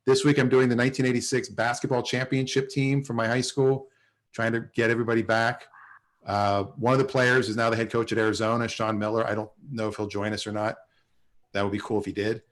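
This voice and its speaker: A man of average pitch hosts an online show over a video call. He talks in a casual, conversational way, chatting with a group of guests. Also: little pitch movement, a little fast.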